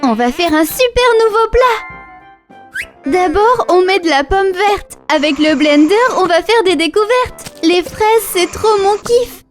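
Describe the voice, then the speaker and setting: A boastful little lion cub with a very high, childlike voice. The delivery is excitable and singsong, in rhyming children's cartoon style, with light music behind it. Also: female voice, measured pace. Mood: eager. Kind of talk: children's cartoon voice acting in rhymes.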